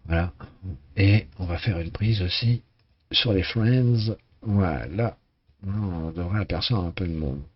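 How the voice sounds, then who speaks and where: A hoarse man casually commenting on a sailing race game, talking with many hesitations and restarts. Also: low voice, measured pace, lo-fi sound.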